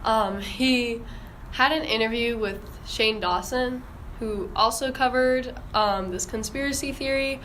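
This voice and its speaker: A young woman hosts a casual podcast in a bright, forward voice. She speaks slowly and informally, feeling her way through thoughts, with slight noise behind her. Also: on the high side. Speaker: podcast host. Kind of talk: casual conspiracy discussion.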